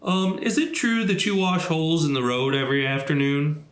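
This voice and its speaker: In a roomy, echoing space, a patient and wise elephant played by a man reading a storybook explains things firmly and step by step. The voice moves up and down in lively fashion. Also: on the high side. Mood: questioning, polite. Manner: deliberate, reasoning step by step. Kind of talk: children's storybook read aloud.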